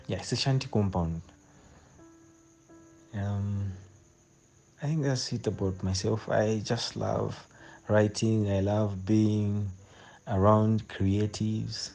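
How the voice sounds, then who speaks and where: A man's voice: on the low side, darkish tone, low-quality recording. A man in his twenties with a Zambian accent, answering interview questions as a guest poet in a hesitant way, often repeating phrases as he thinks. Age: 20s.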